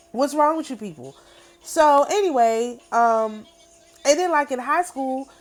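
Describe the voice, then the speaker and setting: A middle-aged woman talking casually to camera in an emphatic, repetitive, boastful way. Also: sits high. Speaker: spiritual channel host. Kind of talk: casual spoken monologue.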